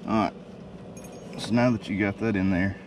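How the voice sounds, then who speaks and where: A man, casually explaining a how-to job in a driveway with a relaxed, folksy manner and many fillers. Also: fairly low pitch, normal speed. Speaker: DIY video host. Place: outdoors in a driveway. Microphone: camera mic. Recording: distant outdoor camera mic with noise.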